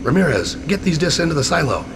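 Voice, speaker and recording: A man's voice: on the high side, very animated, fast talker. An actor playing a tough male special agent, giving clipped, commanding orders in a game cutscene. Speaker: field agent leading a squad. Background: loud dramatic score.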